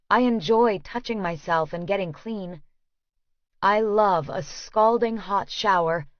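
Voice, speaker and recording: A woman's voice: medium pitch, dry recording, muffled recording. Woman narrator, dry studio sound. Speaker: audiobook narrator. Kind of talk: first-person scripted narration.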